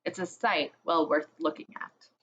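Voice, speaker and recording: A woman reading a children's chapter book aloud, slowly and expressively, as a storyteller for kids. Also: low voice, highs cut off, slight background noise. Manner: slow, gentle, expressive reading.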